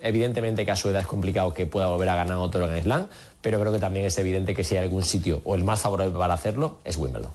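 Very fast, low-voiced Spanish tennis correspondent, male. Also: darkish tone. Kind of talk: unscripted radio match analysis.

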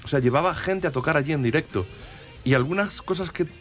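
A man's voice: on the high side, fast talker, fairly bright tone. Spanish man chatting about music on radio. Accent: Spain. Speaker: music commentator on a radio show. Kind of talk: casual radio chat.

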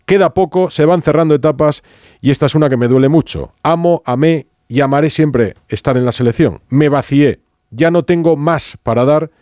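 A man's voice: a little high, moderate pace, darkish tone, no echo, studio quality. A man with an accent from Spain, hosting a conversational sports radio interview. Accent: Spanish from Spain. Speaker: radio host. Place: radio studio.

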